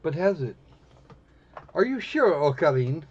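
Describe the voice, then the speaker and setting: A man hosting a livestream, giving casual, snarky commentary on a game with halting pauses. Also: a little high, sing-song, breathy edge. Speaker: livestream host. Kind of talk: casual live commentary on a visual novel. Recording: dull lo-fi microphone sound.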